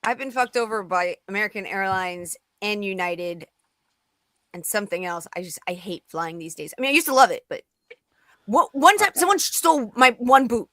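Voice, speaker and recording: A woman's voice: mid-range voice, moderate pace, bright, forceful tone, dry recording. Candid woman chatting, close dry sound. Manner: candid, sweary, anecdotal. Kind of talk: casual group chat.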